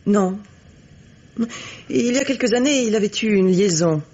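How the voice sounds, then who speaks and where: An actress playing a cynical, haughty bourgeois woman in a French radio mystery, speaking in a measured, articulate, coolly disdainful way. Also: medium pitch, lively intonation, mellow, natural voice. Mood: ironic. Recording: dull vintage radio recording.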